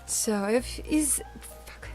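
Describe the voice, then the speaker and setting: A young woman whose first language is Russian, chatting casually in a vlog, laughing often and pausing with fillers. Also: a little high, a little mellow, faint music behind. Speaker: vlogger.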